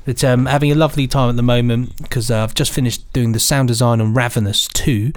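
A man's voice: mid-range voice, fairly even intonation, quick pace. A man co-hosting a podcast and working as a sound designer, chatting enthusiastically and full of praise. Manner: enthusiastic, effusive. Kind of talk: casual chat.

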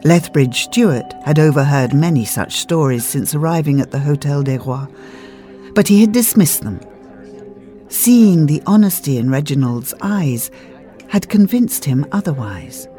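A woman narrates a mystery audiobook in a mellow voice that moves expressively up and down. It is scripted, storytelling delivery, with faint music underneath. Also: low-pitched, lively intonation, unhurried.

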